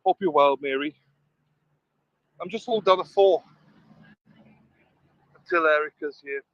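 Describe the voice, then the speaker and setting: Cheery guy doing stream shout-outs, slightly higher voice, dull lo-fi dry audio. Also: a little slow, no echo. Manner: upbeat, greets viewers by name. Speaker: stand-in livestream co-host. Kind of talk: casual livestream chat.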